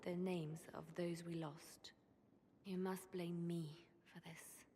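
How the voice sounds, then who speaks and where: A guarded female spymaster, grave and quiet in a lowish voice. She sounds burdened by duty, with faint ambience behind her. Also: level tone, slow, slight background noise. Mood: guilty, somber. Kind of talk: video game voice acting.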